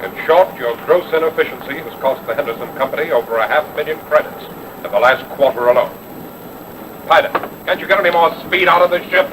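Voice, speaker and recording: A man's voice: fairly high pitch, fairly quick, lightly acted. An actor playing an arrogant, impatient rich businessman in an old-time radio drama, curt and pompous. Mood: stern, scolding. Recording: noisy vintage radio recording, engine drone.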